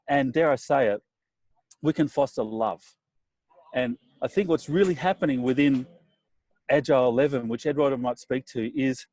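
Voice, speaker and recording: A man's voice: mid-range voice, a little mellow, no room sound. A man earnestly introducing a leadership webinar, speaking with frequent fillers. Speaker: business partner introducing the webinar.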